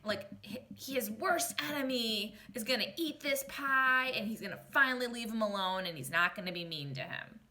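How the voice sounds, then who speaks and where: A woman reading a picture book aloud expressively, like a teacher, pausing to explain and question young listeners. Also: a little high, sharp tone, slight background noise. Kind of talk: expressive children's read-aloud.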